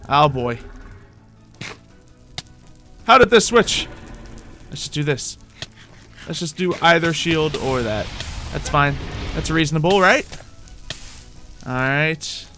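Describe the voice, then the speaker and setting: A man with a bright, forceful voice narrates his own gameplay as a roleplay. He is theatrical and mock-boastful, often talking to himself and repeating phrases, over background music. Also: fairly high pitch, expressive, slow pace, long pauses. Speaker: gaming video host. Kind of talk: in-character gameplay commentary, roleplay.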